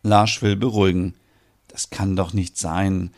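A man voicing an easygoing, skeptical male housemate in an audio story, with a dry, low-key manner. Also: little pitch movement, a little slow, darkish tone. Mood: doubting.

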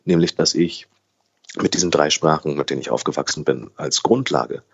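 Deep-voiced young man in interview. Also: moderate pace, breathy edge, highs cut off. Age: 20s. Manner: thoughtful, restarts and self-corrections. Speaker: guest. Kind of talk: conversational interview.

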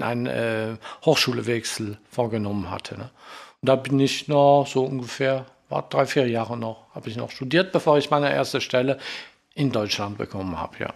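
A middle-aged man with a French accent talks casually as a musician guest in an interview. His medium-pitched voice has a marked creak, and he often pauses to find the right word before tacking on a questioning tag. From Marseille, southern France. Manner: searches for words, often adds questioning tags. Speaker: orchestral horn player, podcast guest.

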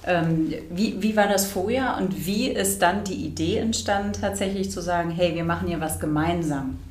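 A woman's voice: on the low side, moderate pace, a little mellow. A woman from Berlin speaking German as the host of an interview podcast, introducing and questioning her guests.

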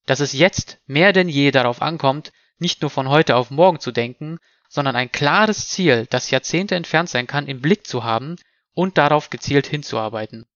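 A man hosting a discussion podcast, speaking in a measured, formal and articulate way. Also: mid-range voice, a bit bright, dry recording, muffled recording. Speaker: podcast host moderating a panel. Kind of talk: scripted introduction, moderated discussion.

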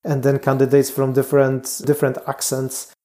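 A man's voice: medium pitch, completely flat delivery, dark tone. A man with a strong Polish accent, a Mars mission candidate answering interview questions in a thoughtful way, often stopping to restart. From Poland. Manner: thoughtful, frequent restarts and pauses.

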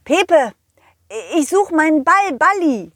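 A woman's voice: extremely high pitch, no echo, studio quality, voice pushed up, exaggerated voice. A woman voicing a friendly, eager male dog who narrates a children's audio story expressively, speaking directly to the kids. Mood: insistent. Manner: expressive, addresses children directly, halting delivery. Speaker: host and narrator.

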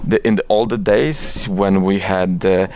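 A man's voice: sits low, steady tone, unhurried, darkish tone. A middle-aged man with a Polish accent giving conversational interview answers, often restarting and repeating his words. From Poland. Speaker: interview guest, advertising executive. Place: office.

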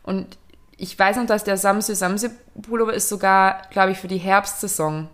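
A woman's voice: medium pitch, steady tone, normal speed, a bit bright. A woman co-hosting a fashion podcast, talking casually in a hesitant, rambling way with many fillers. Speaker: podcast co-host. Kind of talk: casual chat.

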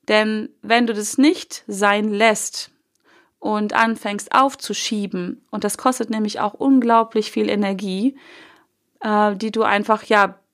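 A young woman with a cold, hosting a solo motivational podcast in a casual coaching tone, with loose fillers and the odd swear word. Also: a little high. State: has a cold. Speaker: podcast host.

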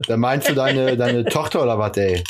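A man's voice: medium pitch, normal speed, noisy background. A man co-hosting a podcast, needling his partner with dry, teasing remarks in joking banter. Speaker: podcast co-host.

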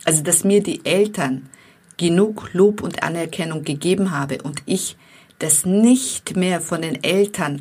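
A woman with a slight foreign accent giving a calm, earnest self-help talk, speaking directly to her listeners. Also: fairly low pitch, breathy edge. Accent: non-native accent. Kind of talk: motivational monologue to viewers.

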